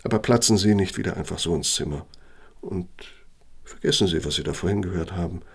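An actor playing a pompous, brooding older architect in a radio play, with insinuating, theatrical delivery and a gravelly, husky voice. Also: male voice, sits low, a little fast, full character voice. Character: self-important older male architect, insinuating, bitter, brooding. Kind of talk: German radio play acting, monologue and dialogue.

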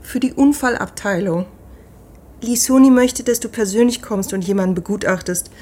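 A woman playing a brisk, slightly piqued hospital doctor in a radio drama, crisp and pointed. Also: medium pitch, a little mellow, lightly acted. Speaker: hospital colleague of the psychiatrist.

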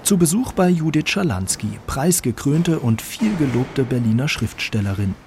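A man's voice: medium pitch, lively intonation, normal speed, darkish tone, slight background noise. A man reading scripted narration as the narrator of a radio documentary.

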